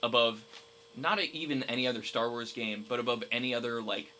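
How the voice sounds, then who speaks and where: A young man chatting casually about games with friends, explaining things in a halting but enthusiastic way. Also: mid-range voice, sharp tone, slight background noise, poor audio. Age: young adult.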